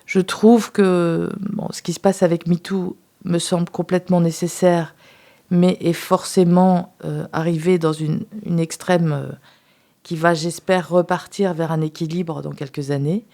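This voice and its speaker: A woman who is a sex therapist, a guest on a radio talk show, speaking in a measured, thoughtful way with some hesitation. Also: lowish voice, fairly even intonation, mellow. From France.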